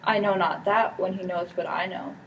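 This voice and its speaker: A woman's voice: on the low side, fairly even intonation, moderate pace, creaky, lo-fi sound. Girl playing a scheming villain, recorded from afar. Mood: formal, guarded. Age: teenager. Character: scheming, slanderous villain, the prince's brother. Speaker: student performer. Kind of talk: amateur Shakespeare performance. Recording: distant mic with background noise.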